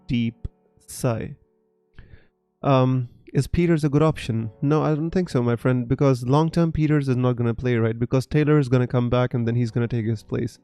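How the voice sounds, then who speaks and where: A man's voice: medium pitch, mellow, clean tone, no room sound. A young man from Punjab in North India, with an accent picked up from gamers around the world, chatting on a live stream and reading out viewer comments with many fillers and restarts. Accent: mixed international English, picked up online. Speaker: fantasy football streamer.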